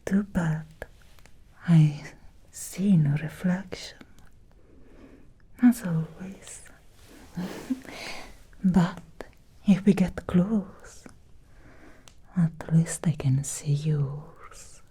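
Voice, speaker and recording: A woman's voice: low-pitched, drawn-out words, pauses often, dark tone, breathy edge, exaggerated voice. A woman playing a seductive, obsessive Victorian vampire in an ASMR roleplay, whispering breathily and intimately with a teasing, lingering delivery. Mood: teasing, curious.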